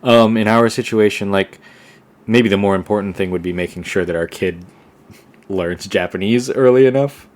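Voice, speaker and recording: A young man with a fairly low voice chats easily as a podcast co-host. He rambles, circles back and fills pauses with "um" and "like" in a loose, relaxed way. The delivery is plain and unhurried. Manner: relaxed, rambling with many fillers and restarts. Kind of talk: casual conversation.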